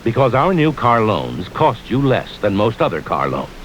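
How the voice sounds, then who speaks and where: A man's voice: mid-range voice, very animated, normal speed, low-quality recording. Casting: American man for a 1970s radio commercial, very varied inflection, slightly hissy broadcast sound. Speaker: commercial announcer. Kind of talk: scripted bank advertisement.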